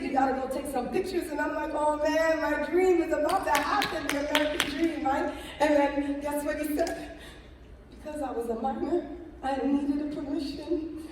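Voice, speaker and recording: A middle-aged woman telling her story on stage as a motivational speaker, animated, tagging her lines with "right?". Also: high-pitched, background noise. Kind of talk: storytelling talk on stage with slides. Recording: echoey hall from far off.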